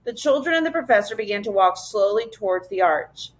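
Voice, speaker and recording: A woman teacher reading a children's storybook aloud in an expressive narrating style. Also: mid-range voice, brisk, poor audio. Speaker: teacher reading aloud to a fourth grade class.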